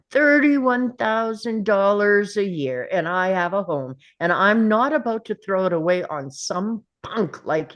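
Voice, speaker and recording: A woman playing a bullying, sarcastic male school principal in a script read, taunting with drawn-out contempt. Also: lowish voice. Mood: threatening. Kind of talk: table read of a film script.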